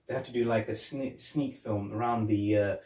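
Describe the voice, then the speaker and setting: A man's voice: fairly low pitch, fairly even intonation, moderate pace, darkish tone, far from the mic. A man co-hosting a casual movie review podcast. He rambles, restarting and repeating his words. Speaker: podcast co-host. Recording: echoey room, off-mic.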